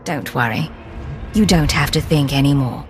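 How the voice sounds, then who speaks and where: A woman's voice: deep voice, moderate pace, a little hiss or hum, natural voice. An actress playing a tough, sardonic female secret agent in a video game, with a dry, cool, menacing manner. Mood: cold, threatening. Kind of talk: scripted video game dialogue.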